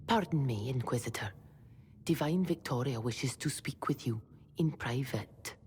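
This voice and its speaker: An actress playing a deferential, discreet woman messenger in a fantasy game, hushed and polite. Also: very deep voice, a little slow, a little hiss or hum. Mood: discreet, urgent. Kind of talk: dramatic fantasy game acting. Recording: reverberant hall with faint ambience.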